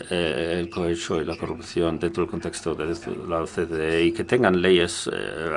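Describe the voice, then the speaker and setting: A man with a low, deep voice interpreting a conference talk as it happens. He stops and restarts words often and fills gaps with hesitant sounds. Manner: halting, frequent restarts and filler sounds.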